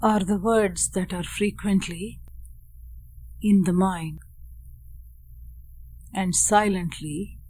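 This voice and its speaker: A woman leading a guided meditation in a measured, deliberate way, speaking in short phrases with long pauses. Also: mid-range voice, fairly even intonation, darkish tone. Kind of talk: guided meditation with Sanskrit chanting and teaching.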